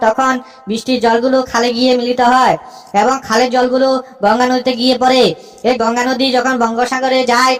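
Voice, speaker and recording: A woman's voice: high-pitched, a bit bright. A woman voicing a cheeky, boastful cartoon schoolboy who recites in a rote, sing-song way. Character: cheeky schoolboy reciting essays with cocky confidence.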